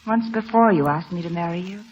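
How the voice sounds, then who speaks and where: An actress playing a wistful, passionate young woman in a 1940s radio drama, dramatic and hushed at phrase ends. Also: fairly low pitch, fairly quick, a little hiss or hum, natural voice. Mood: earnest, tender. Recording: dull old radio broadcast, music behind.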